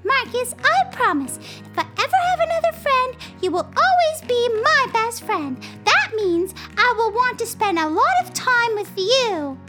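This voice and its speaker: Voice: very animated, a little slow, hardly any pauses, music in the background, exaggerated voice. A storyteller voicing a cheerful, kind little girl who comforts her friend in a children's storybook. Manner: bright, encouraging, squealing highs.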